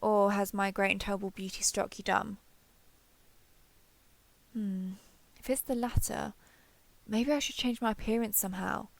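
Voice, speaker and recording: Casting: vain, imperious female dragon played by a woman for audio roleplay; theatrical, formal, gravelly edge, close dry sound. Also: mid-range voice, steady tone, normal speed, long pauses, no room sound, natural voice. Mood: vain, musing. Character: grand, vain female dragon, menacing yet eager and socially clueless. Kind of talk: scripted audio roleplay addressed to listener.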